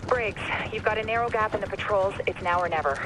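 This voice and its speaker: A female mission handler , voiced by an actress, guides an operation in a mid-range voice. She is cool, crisp and commanding, in the manner of a cinematic spy game. Clear background noise sits under her instructions. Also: mid-range voice, fast, close to an everyday voice. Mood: businesslike. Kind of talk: cinematic video game acting.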